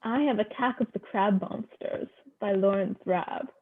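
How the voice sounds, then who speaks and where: A woman's voice: medium pitch, measured pace, a little hiss or hum. A woman reading poetry aloud at an online open mic, recorded through a voice call. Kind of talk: poetry recitation. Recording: thin phone-like call audio.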